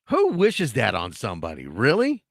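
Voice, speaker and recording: Hoarse, animated male sports show host. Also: mid-range voice, moderate pace, no echo, clean studio sound. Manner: animated, ranting, with frequent restarts. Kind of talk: casual sports talk commentary.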